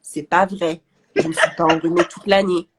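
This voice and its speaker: A woman's voice: lowish voice, little pitch movement, normal speed. A woman business coach with a nasal voice, talking casually and animatedly about confidence and speaking up. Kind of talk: casual chat on a live stream.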